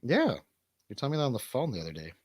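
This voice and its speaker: A man's voice: mid-range voice, wildly swooping pitch, quick pace, slightly rough, no echo, clean studio sound. A man hosting a show in casual, bantering chat, rambling from one thought to the next. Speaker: radio show host and DJ.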